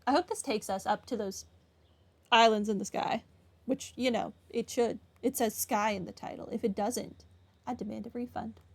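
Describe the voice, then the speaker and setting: A woman's voice: fairly high pitch, fairly even intonation, moderate pace, creaky. A woman giving casual, wry and sarcastic commentary while playing through a video game. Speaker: gamer, playthrough host. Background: game music.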